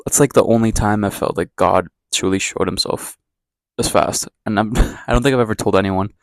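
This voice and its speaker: A man's voice: on the low side, steady tone, a little fast, no room sound. A young man from Ecuador in a casual daily vlog, talking candidly to the camera in a rambling, self-deprecating way. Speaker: vlogger. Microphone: camera mic, talking to camera.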